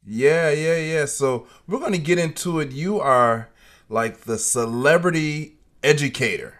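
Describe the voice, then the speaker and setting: A man's voice: a little high, unhurried, fairly bright tone. A man hosting a podcast interview, upbeat and hyped, often repeating his phrases. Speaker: podcast host. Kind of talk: casual interview chat.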